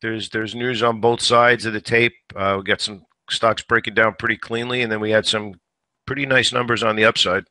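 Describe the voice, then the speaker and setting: A man hosting a stock trading show, giving live market commentary in a conversational way, a little hoarse today and repeating words as he thinks. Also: fairly low pitch, fairly even intonation, a little fast, sharp tone, no room sound. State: slightly hoarse.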